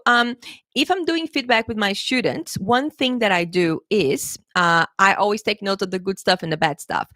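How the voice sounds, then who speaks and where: A woman's voice: medium pitch, fairly bright tone, dry recording, studio quality. A woman English teacher giving a live exam-prep lesson, chatty and encouraging, laughing often and checking in with her viewers. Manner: laughs often, playful pet phrases.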